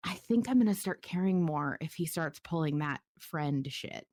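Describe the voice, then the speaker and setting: A woman's voice: deep voice, animated, moderate pace, darkish tone, no room sound, studio quality. A woman chatting casually as a podcast host, effusive and slangy, with frequent asides. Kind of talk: casual fan discussion.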